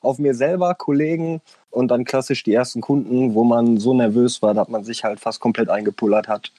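A man's voice: mid-range voice, fairly even intonation, fairly quick, dark tone, no echo. A man in his late twenties, a tattoo artist chatting casually on a voice call, rambling with many fillers and laughing now and then. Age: 20s. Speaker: tattoo artist, podcast guest. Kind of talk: casual chat over a voice call.

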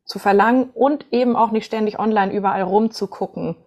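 A woman's voice: medium pitch, fairly quick, a little mellow. A woman from northern Germany, a voice coach and singer, answering conversationally as a podcast guest.

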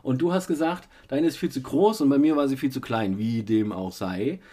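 A man's voice: mid-range voice, moderate pace. A man with a cold, hosting a casual family podcast and retelling a day out step by step. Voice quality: husky. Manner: relaxed, many fillers, retells events step by step. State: has a cold. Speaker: podcast host with his child.

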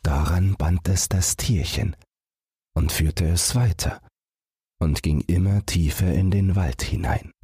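A male audiobook narrator reading a fairy tale for sleep. His voice is deep and very breathy, moving slowly through the scripted story. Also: mellow, no echo, studio quality.

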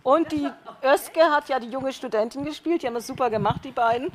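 A woman's voice: sits high, a little fast. A woman on a panel, explaining a radio play with enthusiasm. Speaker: panel participant. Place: panel stage at an arts academy.

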